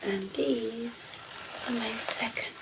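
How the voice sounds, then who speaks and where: A woman voicing a ditzy, flirty female vampire in an ASMR roleplay, speaking softly and breathily, often in a whisper, with a coy, teasing manner. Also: on the high side, creaky, very noisy. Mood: chatty, whimsical. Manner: slow, teasing, coy, giggly.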